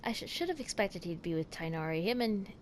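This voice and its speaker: A woman's voice: medium pitch, sing-song, fairly quick, slight background noise. A woman giving casual reaction commentary as she streams a game.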